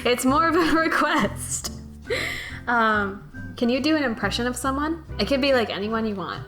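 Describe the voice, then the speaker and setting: A young woman hosting a Q&A in casual, gushing chat, excitable and prone to giggling. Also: on the high side, normal speed, faint music behind. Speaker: interviewer, host of a fan dub Q&A.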